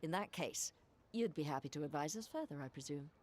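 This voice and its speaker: An actress playing a blunt, resentful adventurer with dry wit in a video game cutscene. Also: female voice, low-pitched, lively intonation, fairly quick, darkish tone.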